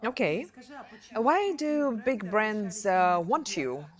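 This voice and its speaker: A woman host asking interview questions in an eager, animated way. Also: medium pitch, slow pace.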